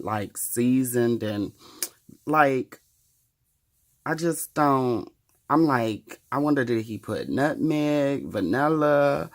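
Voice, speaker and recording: A man's voice: medium pitch, creaky. A man in his thirties chatting casually to the camera in a wry, profane, chatty way. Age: 30s. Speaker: vlogger.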